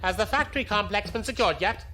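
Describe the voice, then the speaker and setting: An actor playing a haughty, self-assured imperial commodore in a science fiction audio drama, with a pompous manner. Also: male voice, high-pitched, sharp tone, soft background music. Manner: pompous, drawn-out tag questions.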